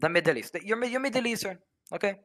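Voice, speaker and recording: A man in an unscripted debate as a caller, halting and flustered, stammering and repeating his words as he argues. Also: high-pitched, expressive, fairly bright tone. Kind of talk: unscripted argument.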